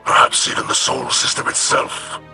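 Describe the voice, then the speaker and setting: A man voicing a proud alien warrior-noble in a video game, formal and solemn, with a breathy, hoarse rasp. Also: pushed and bright. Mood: grave. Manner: formal, solemn, measured diction. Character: grave, proud male alien warrior-noble of a fallen house. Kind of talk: scripted video game voice acting. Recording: game music behind the voice.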